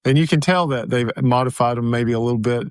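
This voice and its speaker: Male podcast co-host, mid-pitched, relaxed joking chat, dry recording. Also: fast, clean tone, no room sound. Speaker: podcast co-host and sound engineer. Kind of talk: casual chat.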